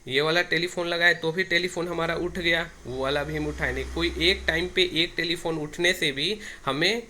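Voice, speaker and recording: A man with a very bright, forceful, slightly high voice teaches a hands-on technical procedure. He goes step by step and often repeats himself. The amateur recording is very noisy, with clicks. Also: moderate pace. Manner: repetitive, restarts phrases as he demonstrates. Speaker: technician giving a tutorial. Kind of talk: hands-on tech tutorial, explaining step by step.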